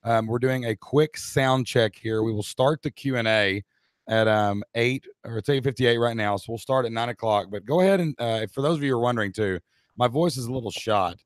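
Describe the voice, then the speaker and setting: A hoarse middle-aged man hosts a live stream and speaks upbeat and direct to the viewers. His voice sits at an ordinary pitch for a man. The sound is dry and close, with no room echo. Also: brisk. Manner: upbeat, addresses the chat audience directly. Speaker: co-host of a live online Q&A.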